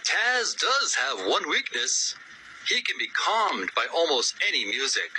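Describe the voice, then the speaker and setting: Male synthetic narrator, very bright and tense, swooping intonation, slips on words, faint music. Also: fairly high pitch, sing-song, unhurried, a little hiss or hum, poor audio. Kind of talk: scripted encyclopedic narration.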